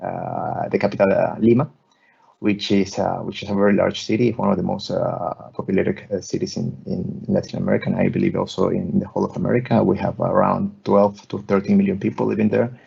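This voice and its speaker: A man in his thirties presents his work over a video call, speaking haltingly, often restarting and repeating words. His low voice is heavily gravelly and husky, with a dark, mellow tone. The call audio sounds dull and muffled. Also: little pitch movement. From Peru. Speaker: guest architect lecturer. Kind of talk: online lecture presenting slides.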